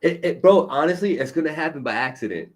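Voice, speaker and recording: A man's voice: fairly high pitch, animated, fast, a crowd in the background. A man hosting a casual talk show, encouraging and slangy, often checking in with his guest. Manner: encouraging, slangy, keeps saying "you know what I'm saying". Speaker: show host.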